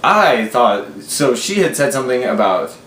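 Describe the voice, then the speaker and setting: A man's voice: mid-range voice, big pitch swings, unhurried, fairly bright tone, slight background noise. A man in his twenties casually telling a story, animatedly acting out the remembered dialogue. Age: 20s. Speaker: storyteller in a couple's vlog. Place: small living room, indoors. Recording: roomy echo.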